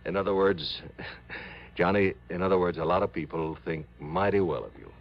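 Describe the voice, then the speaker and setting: An actor playing a genial, bossy American insurance man, speaking in an emphatic, informal way in vintage radio drama style. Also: sits low. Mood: warmly earnest. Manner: hearty, emphatic, halting when sentimental. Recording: dull old radio broadcast.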